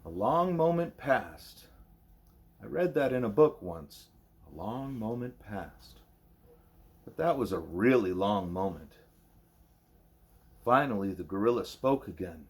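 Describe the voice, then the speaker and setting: A man's voice: lowish voice, animated, takes it slowly, pauses often. A man reading a first-person novel aloud as scripted audiobook narration.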